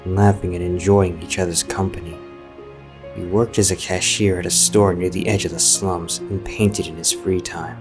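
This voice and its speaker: Low-voiced male story narrator. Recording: music behind the voice.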